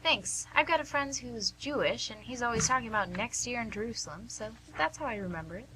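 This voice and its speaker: A woman's voice: a little high, animated, crowd noise, close to an everyday voice, low-quality recording. A woman acting as a wry, knowing nurse who teases and probes in an amateur radio play, recorded with some hum. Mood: chatty. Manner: teasing, probing, deliberate.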